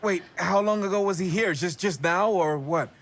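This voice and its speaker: A man's voice: high-pitched, normal speed, fairly bright tone. An actor playing an uneasy, guarded young man in a video game cutscene, hesitant and stammering. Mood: puzzled, wary. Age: young adult.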